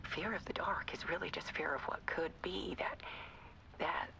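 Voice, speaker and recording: A woman's voice: lowish voice, gravelly, rough recording. Whispering, haunted woman photographer. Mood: obsessive, brooding. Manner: hushed, halting, brooding. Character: haunted, obsessive woman photographer confessing her dread. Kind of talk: dramatic scripted monologue.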